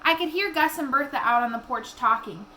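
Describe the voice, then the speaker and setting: A woman reading a children's novel aloud in an expressive storytelling style. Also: sits high, brisk, a little hiss or hum.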